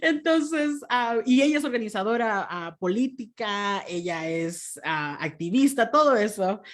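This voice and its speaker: A woman's voice: mid-range voice, lively intonation, unhurried. Laughing woman from Peru. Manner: laughs often, many soft fillers. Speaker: podcast guest. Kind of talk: casual interview conversation.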